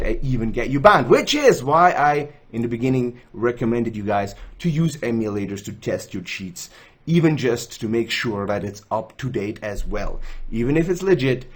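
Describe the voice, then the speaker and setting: A young man from Switzerland with a Swiss accent, hosting a chatty screen-recorded tutorial from a small room, joking at himself as he explains. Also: medium pitch. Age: young adult. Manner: chatty, self-joking, gestures while explaining. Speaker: gaming tools channel host. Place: indoors, small room, gaming chair.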